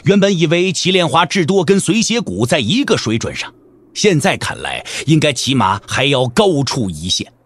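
High-pitched, animated male wuxia narrator. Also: moderate pace, husky. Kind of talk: dramatic scripted wuxia audiobook narration. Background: background music.

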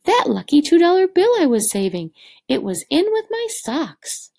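A woman voicing an excitable, hungry father pig from a picture book, in an exclamatory, expressive way. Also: sits high, normal speed, creaky, voice pushed up, heavily acted. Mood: excited.

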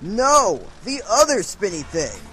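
A man's voice: sits high, wildly swooping pitch, takes it slowly, pushed and bright, soft background music, heavily acted, low-quality recording. A man voicing a confident, encouraging young hedgehog hero with an upbeat manner in a comic dub. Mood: brisk, commanding. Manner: upbeat, assured. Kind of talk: amateur comic dub voice acting.

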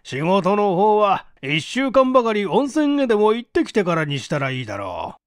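Man playing company president, audiobook story, avuncular, teasing, high pitch, very lively intonation. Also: moderate pace, clear, resonant tone, no echo, clean studio sound. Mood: genial. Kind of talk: acted audiobook dialogue.

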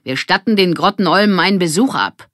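A woman voicing a bold, bossy girl gang leader in a children's story, loud, commanding and spirited. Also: on the low side, expressive, a little fast, no echo, clean studio sound, pitched above the performer's own voice.

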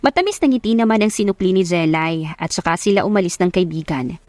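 A woman's voice: fairly low pitch, animated, fast talker, a little mellow, no room sound. Woman narrator in a dry, close recording. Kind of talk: scripted narration of a love story.

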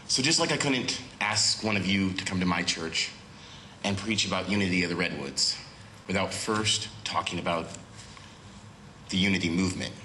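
A man's voice: sits low, bright, forceful tone, slight background noise. Nasal man with a cold, echoey church. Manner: a little nervous, earnest. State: has a cold, slightly nasal. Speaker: guest speaker. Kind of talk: church talk with prayer. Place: church sanctuary at a pulpit, indoors. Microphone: far camera with pulpit microphone. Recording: roomy echo of a church hall.

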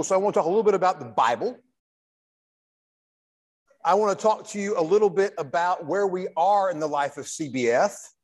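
A man in his sixties with a notably high voice speaks from a lectern in a large, reverberant church hall. He lectures like a pastor, phrasing deliberately and leaning on key words with pauses. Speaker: Baptist pastor, guest lecturer. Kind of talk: church lecture with preacherly delivery.

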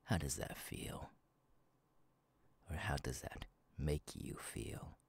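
A man voicing a sadistic masked killer in an ASMR roleplay, husky and taunting, slow and halting, often dropping to a whisper. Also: very deep voice, a little hiss or hum, full character voice. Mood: coldly taunting. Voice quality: husky, gravelly. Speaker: voice actor, ASMR artist. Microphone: close, dry microphone.

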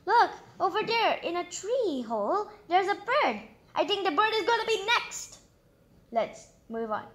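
A woman with a high, very bright voice reads a children's storybook aloud. She takes it slowly and expressively, dropping in informal, exclamatory comments as she goes. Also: big pitch swings. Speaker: storybook reader and channel host.

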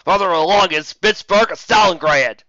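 A man voicing a male sergeant giving crisp radio reports in a fan storybook reading. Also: sits high, moderate pace, sharp tone, no echo, muffled recording, pitched above the performer's own voice. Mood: brisk.

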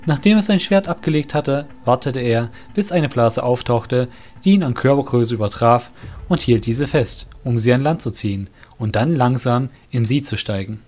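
A man tells a fantasy story as its narrator. His voice sits at a middle pitch and his phrasing is formal and bookish. Quiet music runs underneath. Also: moderate pace.

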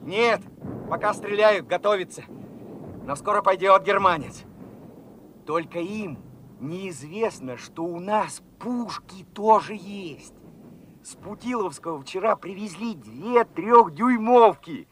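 An actor in a Soviet radio play playing a fervent young revolutionary soldier, talking in an excited, colloquial way. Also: male voice, sits high, takes it slowly, a little hiss or hum. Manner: excited, colloquial, eager questioning.

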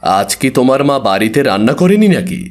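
A pious father, acted by a man, in a moral cartoon. He is earnest and gently admonishing, with a middle-pitched voice. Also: very animated, fairly quick, close to an everyday voice. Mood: reproving, dismayed. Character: pious, gently reproving father of a spoiled girl. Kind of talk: cartoon moral-tale dialogue.

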